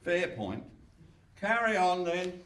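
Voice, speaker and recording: A man's voice: sits high, sing-song, slow, a bit bright, full character voice. A man playing an exasperated, indignant scriptwriter heckling from the audience in a live radio play, recorded in a hall. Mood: grudging. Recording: distant echoey live hall.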